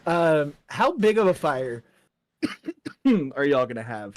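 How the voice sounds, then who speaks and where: A man acting as game master, narrating a tabletop session in a casual, halting, conversational way. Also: a little high, very animated.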